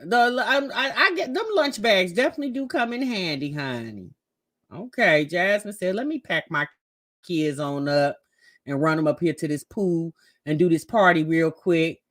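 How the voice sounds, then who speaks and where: A woman's voice: fairly low pitch, animated, normal speed, fairly bright tone. An American woman giving casual, gossipy reaction commentary in a sassy, conversational way. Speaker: host reacting to a family vlog.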